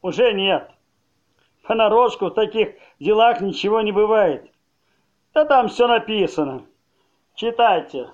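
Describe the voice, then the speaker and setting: A high-voiced, thuggish male enforcer played by an actor, blunt and cynical, talking in curt slang. The audio play recording sounds dull and muffled. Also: lively intonation, unhurried, long pauses. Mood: brusque, mocking. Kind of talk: amateur audio play acting.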